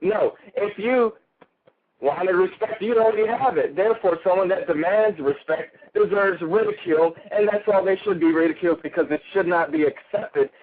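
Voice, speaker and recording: Male caller with an Italian accent, crude and heated, tripping over words; high-pitched and phone-thin. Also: normal speed. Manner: ranting, crude and heated, with stumbles. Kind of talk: call-in radio rant. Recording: tinny phone line.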